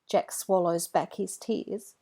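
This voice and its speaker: Female children's storybook narrator: unhurried, fairly low voice, expressive read-along delivery. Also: breathy edge.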